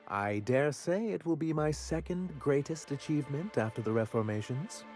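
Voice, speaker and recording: Man as an animated, proud, bookish idealist. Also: on the high side, moderate pace, soft background music, lightly acted. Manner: formal, precise, self-important. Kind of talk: video game voice acting.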